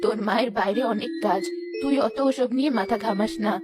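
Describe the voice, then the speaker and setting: Woman as a kindly, quick-talking cartoon grandmother. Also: a little high, loud music behind the voice, voice pushed down, lightly acted. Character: kindly, reassuring old village grandmother. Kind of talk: cartoon character acting.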